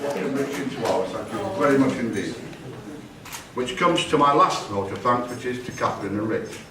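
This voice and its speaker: An older man delivers a father-of-the-bride speech in a jokey, rambling way, backtracking and correcting himself mid-sentence. His voice sounds far from the microphone and bounces around a big echoey room, with steady noise behind it. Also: mid-range voice, moderate pace. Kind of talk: wedding speech. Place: wedding reception hall. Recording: echoey hall from far off, noisy.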